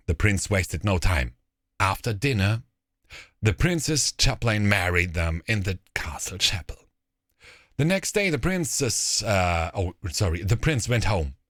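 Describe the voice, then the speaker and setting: Male fairy tale narrator, slow and expressive, recorded dry and close. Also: on the low side, dry recording. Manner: deliberate, expressive phrasing. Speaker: storyteller.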